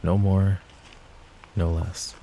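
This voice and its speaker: A man playing a possessive, overprotective mafia boss in an intimate roleplay audio drama, with a low gravelly voice and a measured, commanding manner. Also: little pitch movement, dark tone, slight background noise, heavily acted, poor audio. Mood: dry, indignant. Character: possessive, overprotective male mafia boss, curt but caring. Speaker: voice actor.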